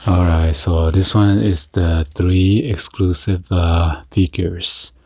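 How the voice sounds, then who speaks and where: A man with a very low, soft-edged and dark voice hosts an ASMR unboxing. He speaks slowly and haltingly, murmuring short remarks item by item. Faint noise, clicks and crinkling packaging sit behind him. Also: fairly even intonation. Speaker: unboxing video host.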